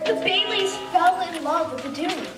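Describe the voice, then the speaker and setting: A woman's voice: very high voice, lively intonation, normal speed. A woman playing a curious, eager kid who loves learning, in an amateur radio play. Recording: echoey, distant mic, loud music.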